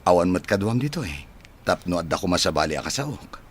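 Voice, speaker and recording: A man's voice: deep voice, very animated, brisk, a little hiss or hum. A man playing a rich, cold, arrogant bachelor son in a radio-style drama, curt and scornful in delivery. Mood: coaxing.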